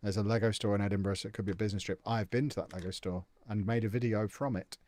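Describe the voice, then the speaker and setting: A man's voice: lowish voice, fairly even intonation, very fast, a little mellow, no echo. Chatty man streaming a game. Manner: chatty, jokey, answers viewers' comments. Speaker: live streamer playing a football management game. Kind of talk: casual live-stream chat.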